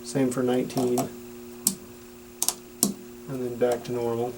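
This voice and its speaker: A man's voice: mid-range voice, completely flat delivery, measured pace. A man giving a plain-spoken, instructional product demonstration. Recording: audible background noise with hum.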